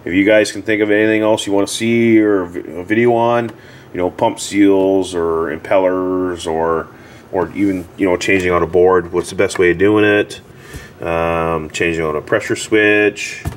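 A low-pitched man talks through a hands-on repair tutorial. He is casual and halting, circling back over his words in a plain way. The camera's microphone picks up clear background noise along with him. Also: fairly even intonation. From Canada. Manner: halting, plain-spoken, repeats himself. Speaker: hot tub repair technician giving a tutorial. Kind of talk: casual unscripted how-to explanation.

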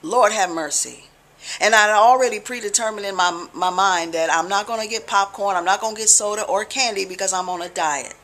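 American woman, deliberate informal teaching talk. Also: medium pitch, a bit bright. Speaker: religious video blogger. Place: small living room, indoors on a couch.

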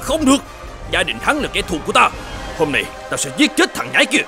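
Hot-tempered cartoon innkeeper, middle-aged, blustering, fast-talking, swooping pitch, music behind. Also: male voice, huge pitch swings, full character voice. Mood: furious, threatening. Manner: blustering, threatening. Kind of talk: dubbed cartoon folk-tale acting.

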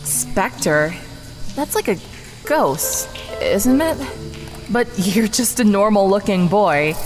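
A woman's voice: mid-range voice, darkish tone, lightly acted. A woman playing a lonely, childlike yet menacing snake woman with a naive, probing manner in a comic audio drama. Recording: reverberant hall, music behind.

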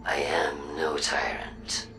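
A woman playing an imperious machine-mind villain in a video game, grandiose and coldly persuasive, in a breathy, processed voice. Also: rumbling low, steady tone, exaggerated voice. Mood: grandiose, commanding. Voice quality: breathy, whispery, gravelly. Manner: measured, grandiose, deliberate. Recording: echoing, distant, with music behind.

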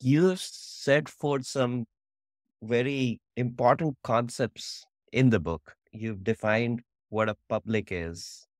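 A man hosting an interview, putting prepared questions in a measured way. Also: medium pitch, dry recording, studio quality. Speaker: interviewer, podcast host.

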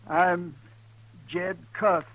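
An actor playing a frightened, simple-minded old ranch hand in a western radio drama, halting and timid. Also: male voice, sits high, level tone, a bit bright, dull audio, full character voice. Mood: dazed, fearful. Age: older. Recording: noisy 1950s radio recording with hum.

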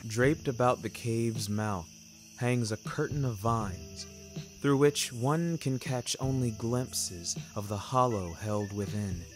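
Slow, measured male story narrator. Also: mid-range voice. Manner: literary, measured, wry. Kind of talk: scripted first-person fiction narration. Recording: music bed under the voice.

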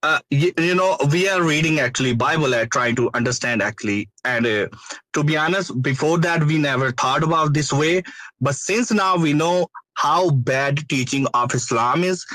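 A man's voice: fairly high pitch, normal speed, sharp tone, dry recording, poor audio. A man from Pakistan, an Urdu speaker, speaking hesitantly with many fillers as a caller. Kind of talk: casual chat.

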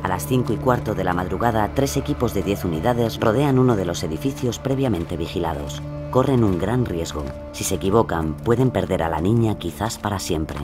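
A man's voice: fairly low pitch, expressive, a little fast, over music. A man narrating a scripted true-crime story in dramatic style.

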